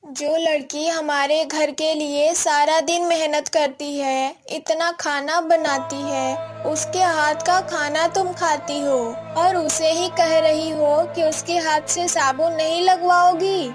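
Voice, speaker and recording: An elderly mother-in-law from a cartoon moral story, put on by a woman. She is protective and kindly, speaking slowly and with measured care in a high voice. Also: steady tone, music in the background. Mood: reproachful. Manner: measured, admonishing, consoling.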